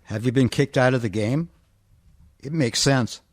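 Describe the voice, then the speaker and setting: A man's voice: mid-range voice, a little slow, lightly acted. A man playing a battered, dazed college frat leader in an amateur radio comedy.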